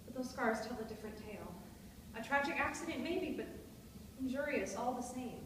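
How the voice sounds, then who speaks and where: A woman's voice: fairly high pitch, expressive, a little fast, close to an everyday voice. Woman acting an anxious widowed mother, echoey hall. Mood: distressed, impassioned. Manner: formal, ornate, melodramatic diction. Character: anxious, theatrical widowed mother and stepmother, frail-nerved. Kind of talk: dramatic stage reading of a period play. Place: hall at a live staged reading. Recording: echoey noisy hall, distant microphone.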